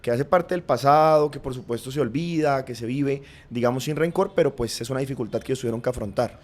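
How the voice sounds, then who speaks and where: A man with a Colombian accent, a podcast guest, reflectively recounting his family's past in an earnest, unhurried way. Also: medium pitch, a little mellow. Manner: earnest, reflective, frequent "digamos" and "pues" fillers. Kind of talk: personal storytelling in conversation.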